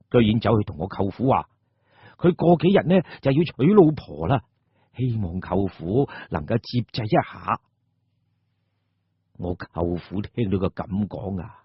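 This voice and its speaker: A male Cantonese storyteller narrates dramatically. His voice is husky and theatrical, with widely swinging pitch, recorded dry and close. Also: no room sound, highs cut off. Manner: expressive, theatrical.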